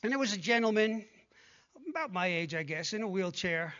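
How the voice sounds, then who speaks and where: A man in his sixties preaching a sermon, speaking conversationally and growing hushed when he prays. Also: sits high, animated, fairly quick, muffled recording. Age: 60s. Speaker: pastor. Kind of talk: sermon with prayer and scripture reading.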